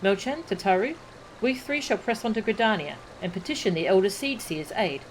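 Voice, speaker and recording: A woman reading out a decisive young leader's orders in a game, brisk and commanding. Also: mid-range voice, animated, a little hiss or hum, close to an everyday voice, rough recording. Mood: urgent. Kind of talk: reading game dialogue aloud.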